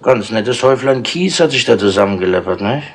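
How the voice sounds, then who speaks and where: An actor playing a shrewd, patronising older London chief inspector in a wry, colloquial way, in 1960s radio drama style. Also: male voice, medium pitch, animated, fairly quick, slightly rough. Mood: wry, businesslike. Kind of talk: 1960s German radio crime drama acting. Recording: noisy 1960s radio tape with clicks.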